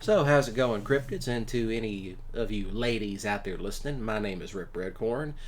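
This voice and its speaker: A man's voice: fairly low pitch, moderate pace. A man, rambling casually through personal stories as a commentator, in a folksy, blunt, colloquial way. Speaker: ASMR roleplay creator and commentator.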